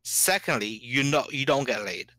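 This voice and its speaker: A man's voice: medium pitch, expressive, moderate pace, pushed and bright, no echo. A man in a heated online voice-chat argument, aggressive and insulting, swearing constantly. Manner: aggressive, insulting, heavily profane. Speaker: debate stream participant.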